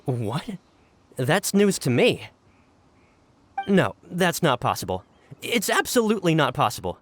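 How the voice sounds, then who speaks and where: A man's voice: on the high side, wildly swooping pitch, a little hiss or hum. An actor playing a young male informant in a video game, flustered and apologetic. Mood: defensive. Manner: flustered, apologetic, quick to protest. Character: young male informant, anxious and eager to please.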